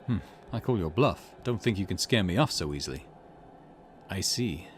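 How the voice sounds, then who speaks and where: A man voicing a confident young curse bearer in a visual novel, calm, measured and coolly calculating. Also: low voice, slight background noise. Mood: coldly threatening.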